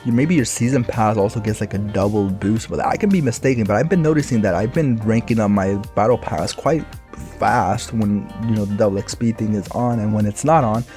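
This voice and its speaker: A man with a raspy, recovering voice, giving casual gameplay commentary for his own video in a rambling, laid-back way with lots of fillers. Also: fairly low pitch, brisk, mellow, soft background music. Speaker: gaming YouTuber.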